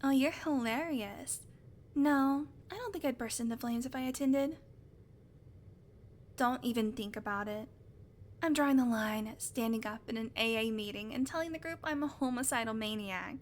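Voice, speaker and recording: A woman's voice: on the high side, moderate pace, pauses often, close to an everyday voice. A woman playing a sarcastic, teasing vampire who swears casually, in a conversational audio roleplay. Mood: defensive, irritated. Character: sardonic female vampire, unrepentant predator, teasing. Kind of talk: audio roleplay acting, conversational monologue to listener.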